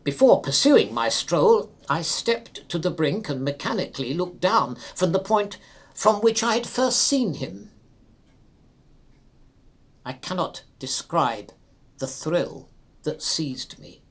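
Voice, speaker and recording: A man reads a classic ghost story as a dramatic narrator. His delivery is slow and solemn, with measured, theatrical phrasing that gives each clause its own space. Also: fairly high pitch, big pitch swings.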